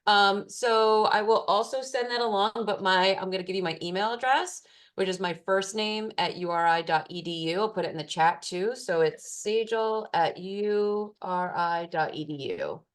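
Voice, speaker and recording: A woman's voice: mid-range voice, moderate pace. A woman, a food safety specialist, answering participants' questions in an online class in a casual way with many fillers.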